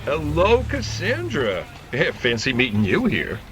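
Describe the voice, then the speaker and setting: A man's voice: medium pitch, huge pitch swings, measured pace, over music. A man playing a small, menacing thug in an audio drama, slangy, mocking and threatening over money.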